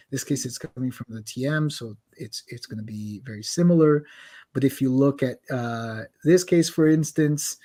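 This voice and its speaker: A man hosting a live online session, chatting casually and self-deprecatingly as he demos translation software. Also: mid-range voice. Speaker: host demoing translation software. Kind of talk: casual live demo talk.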